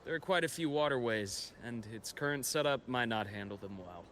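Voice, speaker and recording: An actor playing a composed, knowledgeable expedition guide and scholar in a video game, telling history in a measured, reflective way. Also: male voice, medium pitch, fairly bright tone, slight background noise, natural voice. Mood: friendly, helpful. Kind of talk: scripted video game story dialogue.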